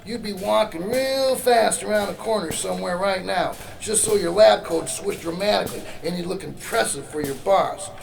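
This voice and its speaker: An actor playing a gruff, sardonic rebel mentor with folksy, brusque speech in a radio drama. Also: male voice, sits high, moderate pace, few pauses. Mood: mocking, brusque. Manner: folksy slangy, wry, brusque.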